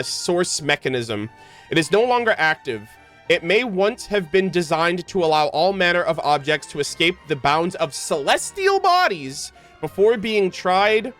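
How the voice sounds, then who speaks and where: A man's voice: sits high, a bit bright. A man doing casual, rambling livestream game commentary, informal and stumbling a little when he reads aloud. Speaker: game streamer. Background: video game music.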